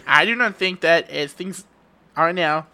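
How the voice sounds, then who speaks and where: A man's voice: fairly high pitch, a little slow, pushed and bright, clear, resonant tone, heavily acted. A man playing a haughty older aristocratic lady who speaks in a commanding, formal, disapproving way. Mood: imperious, demanding.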